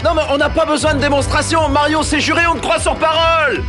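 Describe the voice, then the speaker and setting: A man's voice: very high voice, steady tone, quick pace, over music. A man voicing a wisecracking, teasing teenage boy in a French cartoon dub, slangy in his talk. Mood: teasing, rattled.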